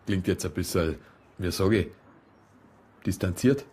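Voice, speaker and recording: An actor playing a matter-of-fact, probing small-town police detective with a Bavarian accent in a radio drama. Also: male voice, low voice, a little slow, mellow, slightly rough. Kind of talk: naturalistic radio drama dialogue.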